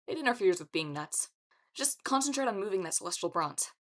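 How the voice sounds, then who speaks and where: A woman's voice: medium pitch, animated, brisk, fairly bright tone, close to an everyday voice. Woman as teenage boy hero, cocky, wisecracking, boastful, storybook character. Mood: scheming. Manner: boastful, swaggering. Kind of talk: audiobook character acting.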